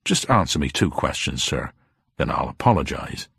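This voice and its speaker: A man's voice: rumbling low, sing-song, normal speed, gravelly, fairly bright tone, slightly rough, no room sound, clean studio sound, pitched below the performer's own voice, full character voice. A man voicing a polite, watchful police superintendent who is deferential but doggedly suspicious. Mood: testing.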